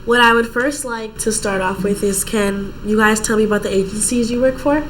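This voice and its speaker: Teenage girl host, eager quick delivery, mid pitch, faint hiss. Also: steady tone. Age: teens. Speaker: student host. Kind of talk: scripted show opening.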